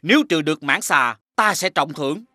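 Casting: folk-tale king, authoritative and stately, lively bright voice, dry studio sound. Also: fairly high pitch, brisk, no room sound. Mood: approving. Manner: stately, commanding. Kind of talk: animated fairy-tale dialogue.

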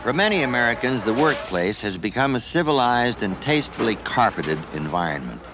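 A man's voice: mid-range voice, very animated, fairly quick, music in the background, poor audio. A man reading scripted narration as the narrator of a documentary.

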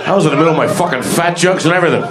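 A man's voice: fairly high pitch, rapid-fire. A middle-aged man from New York with a New York accent, doing brash, profane crowd work as a stand-up comedian on a club stage with a handheld mic. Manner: brash, profane, mocking banter. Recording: roaring crowd, loud room noise.

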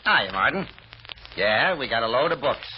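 A man's voice: medium pitch, sing-song, moderate pace, natural voice. An actor playing a brash, stubborn male private detective in an old-time radio drama, cocky and defiant. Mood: casual bluffing. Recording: muffled old radio recording, faint noise.

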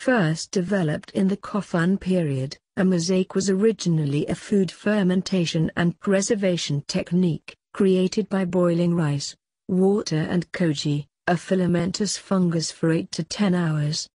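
A woman giving a measured, formal read-aloud of a scripted practice text. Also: lowish voice, fairly even intonation, warm tone, slightly rough, dry recording, studio quality. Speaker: exam sample reader.

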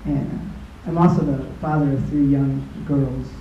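A man's voice: mid-range voice, flat delivery, unhurried, a little hiss or hum. A man who is a father asking a sincere question from an audience. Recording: dull echoey hall.

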